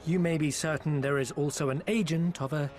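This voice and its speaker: An actor playing a smooth-talking, foppish male merchant agent in a game cutscene, speaking in a theatrical, flowery, ingratiating way. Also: fairly high pitch, steady tone, brisk, darkish tone, natural voice. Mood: explaining. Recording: with background music.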